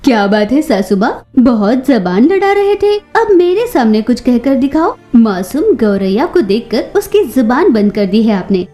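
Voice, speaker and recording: A woman's voice: fairly high pitch, big pitch swings, unhurried, hardly any pauses, dark tone, soft background music. A woman voicing a bold, protective young female bird in a cartoon story, outspoken in manner. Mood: defiant. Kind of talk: Hindi children's cartoon story acting.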